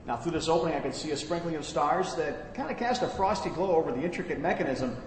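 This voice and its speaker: A measured field reporter in a radio play, acted by a man, describes the scene politely. His voice is slightly high, echoing in a hall with faint noise. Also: lightly acted. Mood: calm, descriptive. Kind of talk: on-location radio drama reporting.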